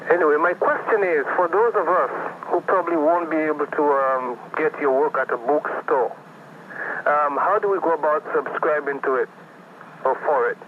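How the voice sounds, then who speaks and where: A man's voice: on the high side, moderate pace. A man from Ecuador calling a radio show, persistently trying to get a word in. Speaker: caller. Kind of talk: call-in radio conversation. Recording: phone line on radio, hissy.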